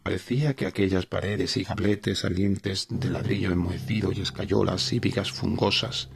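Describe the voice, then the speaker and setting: A man narrating a gothic horror story as a dramatic audiobook, with a solemn, measured delivery. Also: sits low, steady tone, creaky. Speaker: podcast narrator reading a horror short story. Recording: narration over a music bed. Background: background music.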